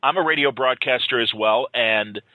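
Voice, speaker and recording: A man's voice: mid-range voice, moderate pace, bright, forceful tone, no room sound, rough recording. An American man hosting a podcast in a casual, informal way, speaking as an enthusiastic fan. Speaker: podcast host, radio broadcaster. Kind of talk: casual interview and introduction.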